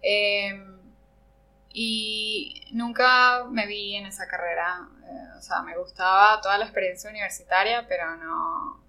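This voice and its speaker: A woman's voice: mid-range voice, fairly even intonation, measured pace, bright, forceful tone, rough recording. A Venezuelan woman from Margarita Island answering interview questions in a reflective way, often searching for words. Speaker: interview guest. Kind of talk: conversational interview.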